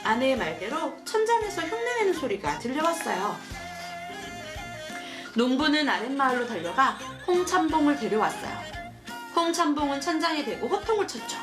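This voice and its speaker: A woman hosting a children's show, reading a storybook and asking questions in an animated, sing-song, teacherly way. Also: high voice, normal speed, music in the background. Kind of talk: storybook narration and chat with a child.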